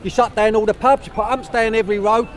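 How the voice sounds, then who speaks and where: A man's voice: high voice, steady tone, fast. A man from London confronting someone angrily in a street argument. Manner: angry, confrontational. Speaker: passer-by. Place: busy street outdoors.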